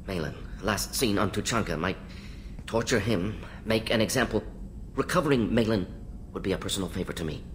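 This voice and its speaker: An actor playing an intense alien scientist in a video game, speaking in brisk, clipped, analytical fragments. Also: male voice, close to an everyday voice. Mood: subdued, concerned. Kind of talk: video game character acting. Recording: clear low rumbling background noise.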